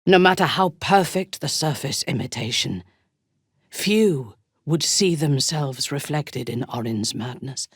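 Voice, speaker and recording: A woman playing a ruthless, imperious female dark elf warrior in a video game, with commanding, disdainful and measured delivery. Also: deep voice, expressive, husky, dry recording, clean studio sound, exaggerated voice. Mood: grave, proud. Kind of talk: video game character acting.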